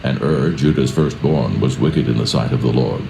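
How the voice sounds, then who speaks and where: A man with an extremely deep, dark voice and a gravelly creak narrates the Bible. His delivery is solemn, measured and reverent, in a roomy echo. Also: slight background noise. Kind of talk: solemn scripted scripture narration. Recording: roomy echo with music behind.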